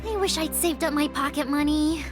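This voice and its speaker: A woman voicing an excitable tiny fairy companion with a very high, bubbly voice in a video game. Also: a little fast, lightly acted. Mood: chatty. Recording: game audio over music.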